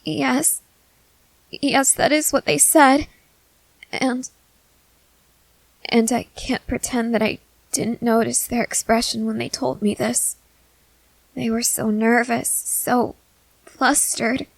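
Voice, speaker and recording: A woman voicing a gentle, nervous, newly turned young female vampire who speaks haltingly in an ASMR roleplay. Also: on the high side, steady tone, vocal fry, no room sound, pitched above the performer's own voice, full character voice, rough recording. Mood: wistful. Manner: hesitant, halting, soft-hearted. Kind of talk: scripted ASMR audio roleplay.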